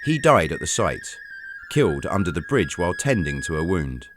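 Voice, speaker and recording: Deep-voiced man narrating a documentary. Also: sing-song, normal speed. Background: faint background music.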